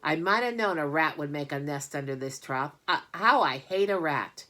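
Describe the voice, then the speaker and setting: A woman voicing a gruff male farmhand in a storybook, grumbling and exclaiming. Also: sits low, animated, moderate pace, pushed and bright. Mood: disgusted.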